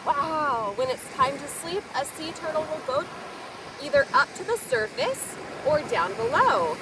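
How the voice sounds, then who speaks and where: High-pitched woman reading to children, very animated. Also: measured pace. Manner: expressive, explains words simply to young listeners. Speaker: children's story time host. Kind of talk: read-aloud of a children's picture book with asides. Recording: distant mic, noisy surf-like outdoor ambience.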